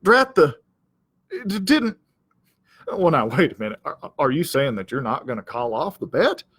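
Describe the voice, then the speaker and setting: A man's voice: fairly high pitch, very animated, moderate pace, a bit bright, slightly rough, no room sound, heavily acted. Man acting out a blustering, vain cowdog, swooping. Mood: indignant. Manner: blustering, hesitant, stammering, pompous. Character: vain, blustering, gullible male cowdog, self-important. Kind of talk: children's storybook character voice.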